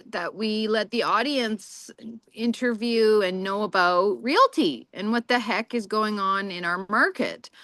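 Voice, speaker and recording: A woman's voice: medium pitch, bright, forceful tone, no room sound. A woman interviewing a guest in a bubbly, chatty way, laughing often. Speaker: magazine interviewer. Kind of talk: casual interview chat.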